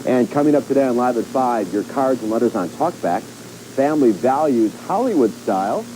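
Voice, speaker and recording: A man's voice: medium pitch, expressive, normal speed. A man presenting a scripted local television forecast and programme promo. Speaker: TV news presenter. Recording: noisy archive television broadcast.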